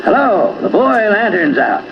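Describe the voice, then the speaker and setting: Guy doing an excitable american steamboat pilot giving orders, storybook style, dull hissy old radio tape. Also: high voice, measured pace, voice pushed up, heavily acted. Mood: surprised. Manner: exclaiming, commanding. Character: excitable, muttering male riverboat pilot in command. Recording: muffled, noisy AM radio tape.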